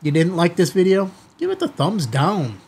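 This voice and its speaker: A middle-aged American man with a slightly husky voice, talking informally and directly to viewers as a video host. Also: high voice, wildly swooping pitch, moderate pace, darkish tone. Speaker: amateur radio video host. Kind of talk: casual chatty commentary to viewers. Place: small indoor studio office, seated at desk.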